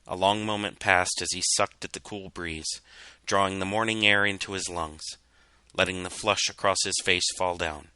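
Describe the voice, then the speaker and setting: A man reading a story as a dramatic narrator, solemn and measured in his delivery. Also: low-pitched, bright, forceful tone, dry recording. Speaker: fan fiction reader.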